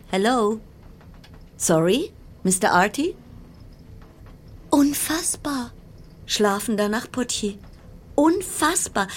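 Anxious woman passenger, noisy ambience behind. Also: a little high. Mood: exasperated, urgent. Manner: brooding, clipped fragments, sliding into breathy whispers. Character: anxious, restless female cruise passenger trapped aboard a stricken ship. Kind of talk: radio play interior monologue.

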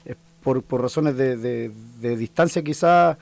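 A man's voice: medium pitch, measured pace, creaky, a little mellow. A Chilean man in his thirties, a folk singer talking in a casual interview, hesitant and full of restarts. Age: 30s. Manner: hesitant, many restarts and fillers.

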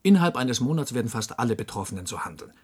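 Radio drama, male: cynical analyst, slightly husky mid-range voice, dry and knowing lecturer. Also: mid-range voice, very animated, fast talker, darkish tone. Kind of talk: German science-fiction radio drama dialogue.

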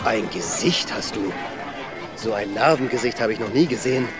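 An actor playing a talkative, self-satisfied, theatrical street portrait artist in a radio drama. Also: male voice, lowish voice, lively intonation, moderate pace, crowd noise, natural voice. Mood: coaxing. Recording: music behind the voice.

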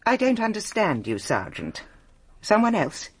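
An actress in a British radio drama playing a prim, guarded hotel receptionist with an English accent, formal, precise and courteous. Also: female voice, mid-range voice, huge pitch swings, measured pace, husky, heavily acted. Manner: formal, precise, politely dismissive.

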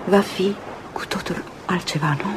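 An actress playing a fragile, wistful young woman in love in a Romanian radio play, soft and dreamy. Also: on the low side, background noise, close to an everyday voice. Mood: wistful, tearful. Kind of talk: Romanian radio theatre acting.

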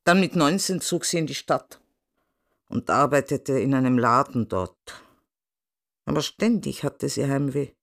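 A man's voice: medium pitch, unhurried, gravelly, a bit bright. An actor in a radio drama playing a plain-spoken village man who narrates a local story in short statements. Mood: plain, narrating.

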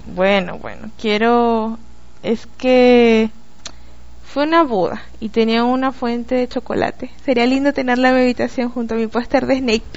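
A woman's voice: fairly high pitch, steady tone, moderate pace, a little mellow, dull audio, close to an everyday voice. A woman voicing a mischievous young female prefect with sarcastic, teasing quips. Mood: playful.

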